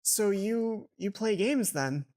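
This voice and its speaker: A woman's voice: mid-range voice, lively intonation, slow pace, warm tone. A woman voicing an eager but nervous teenage schoolgirl in a visual novel, hesitant and polite. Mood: awkward.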